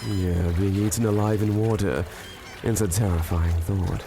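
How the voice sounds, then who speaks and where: A man's voice: low-pitched, steady tone, normal speed, close to an everyday voice. A man voicing a courteous but sinister henchman who relishes torture, in a manga dub. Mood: calm relish. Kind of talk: manga dub character acting. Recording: background music under voice.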